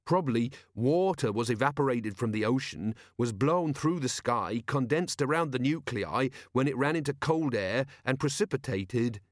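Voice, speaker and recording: A man's voice: medium pitch, animated, normal speed, hardly any pauses, no echo, clean studio sound, close to an everyday voice. A man voicing a dour, irritable, sceptical constable with a dismissive, muttering manner, in an audiobook. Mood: sneering, irritable. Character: dour, irritable, sceptical male constable who debunks miracles.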